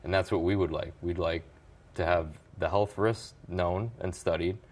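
A man from an environmental group, answering interview questions in a measured, explanatory way. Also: low voice, fairly even intonation, a little mellow. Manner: measured, explanatory, occasional restarts. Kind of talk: news interview guest.

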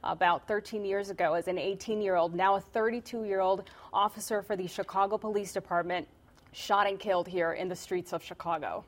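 A woman's voice: mid-range voice, fairly even intonation, a little fast. A woman from Colombia who works as a TV journalist, speaking earnestly on a news panel. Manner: earnest, heartfelt. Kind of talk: panel discussion.

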